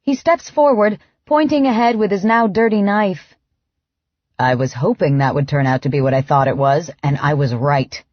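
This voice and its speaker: A woman reading first-person fiction as an audiobook narrator, in an expressive, dramatized way. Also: mid-range voice, no echo, highs cut off.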